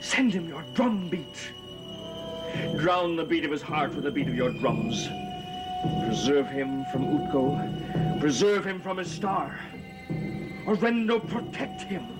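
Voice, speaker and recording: A man's voice: fairly high pitch, sing-song, slow pace, low-quality recording. A man playing a solemn, commanding mystic chanting a protective invocation in a vintage gothic TV soap. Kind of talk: 1960s gothic soap opera acting. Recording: vintage TV soundtrack with loud music.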